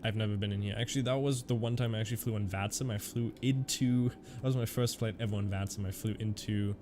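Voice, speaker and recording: A young man from Germany with a mixed Canadian-German accent, talking through a simulator flight and answering chat. His voice sits fairly low, and a steady hiss of noise runs under it from the home setup. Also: quick pace. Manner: chatty, reads chat aloud and answers viewers. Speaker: flight sim streamer. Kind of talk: casual live-stream commentary.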